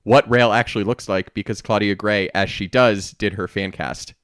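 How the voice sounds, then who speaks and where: Casual podcast host: man, lower register, very dry sound. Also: steady tone, normal speed, a bit bright, dry recording. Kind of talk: casual book discussion podcast.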